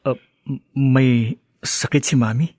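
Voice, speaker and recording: An actor playing a long-suffering young husband and dutiful son, earnest and weary, in radio drama style. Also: male voice, medium pitch, fairly even intonation, unhurried, a little mellow, husky, dry recording, studio quality. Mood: subdued, concerned.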